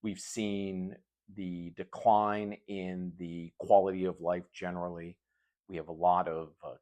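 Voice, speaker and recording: Very deep-voiced male interviewer. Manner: measured, jokey asides. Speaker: interview host. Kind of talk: political interview.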